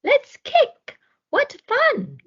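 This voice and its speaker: A woman's voice: very high-pitched, sing-song, extremely slow, highs cut off, pitched above the performer's own voice, heavily acted. A woman voicing cheerful young animals in a storybook, eager and bouncy as they invite someone to play. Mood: excited, playful.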